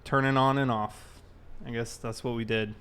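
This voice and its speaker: A man with a smooth, clear voice at an average pitch hosts a game livestream. He talks informally, thinking aloud as he goes and chatting with his viewers in a loose, casual way. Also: fairly even intonation, moderate pace, a bit bright. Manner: informal, thinking aloud while reading chat. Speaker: live streamer, host.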